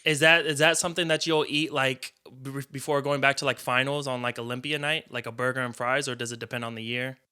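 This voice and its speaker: A man's voice: mid-range voice, steady tone, brisk, few pauses, sharp tone, clean tone. An American man hosting a casual interview, talking in an informal, conversational way. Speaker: interviewer, host.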